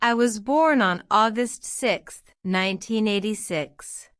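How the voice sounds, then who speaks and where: A woman reading interview practice questions and answers aloud, saying each line twice in a slow, deliberate drill. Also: medium pitch, a bit bright, clean tone, no echo, clean studio sound. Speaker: citizenship test practice narrator.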